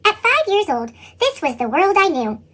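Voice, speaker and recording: Woman doing a squeaky chipmunk-voiced storybook boy. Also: moderate pace, exaggerated voice. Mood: earnest, chirpy. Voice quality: squeaky, sped-up chipmunk sound. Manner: animated children's read-aloud delivery. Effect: pitched-up chipmunk voice effect. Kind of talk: scripted picture-book narration.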